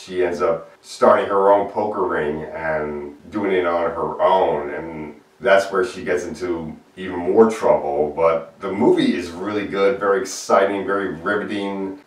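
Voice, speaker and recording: A man's voice: sits low, distant mic. A man giving an earnest, plain-spoken film review in a solo video. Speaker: movie reviewer. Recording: echoey room, voice off-mic.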